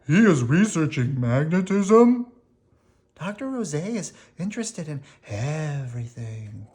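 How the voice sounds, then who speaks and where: Storybook townsfolk, put on by a reader: excited, marvelling, higher-pitched and very animated. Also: a little slow. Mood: amazed, admiring. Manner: emphatic, marvelling exclamations.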